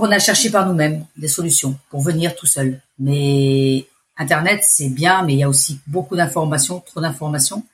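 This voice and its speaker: A woman in her forties with an accent from France, telling her story in a casual interview, chatty and halting. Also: low voice. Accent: French from France. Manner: chatty, hesitant, restarts phrases. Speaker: expat interviewee.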